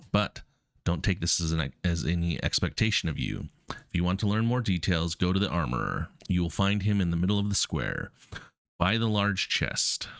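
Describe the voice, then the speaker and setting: A man's voice: low-pitched, no room sound, studio quality. A man with a cold reading the lines of a polite, formal garrison captain. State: stuffy with a cold. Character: courteous male garrison captain, welcoming.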